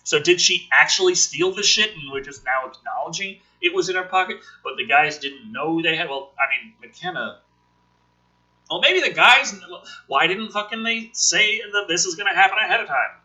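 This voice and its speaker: A man's voice: high voice, animated, normal speed, bright, forceful tone, husky, low-quality recording. A man doing a casual dramatic script reading as host, with sarcastic, profane ranting asides. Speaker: host reading a film script aloud with commentary.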